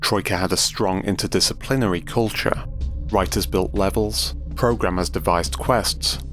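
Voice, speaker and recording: Low-pitched man narrating a documentary. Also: moderate pace, fairly bright tone, faint music behind.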